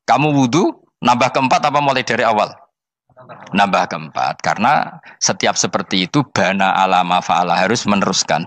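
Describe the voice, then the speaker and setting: A man's voice: mid-range voice, a bit bright, dry recording. A man with a Javanese accent giving an informal religious lecture in a conversational, teasing way, often repeating himself to make a point. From Indonesia. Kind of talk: informal sermon mixing explanation with storytelling.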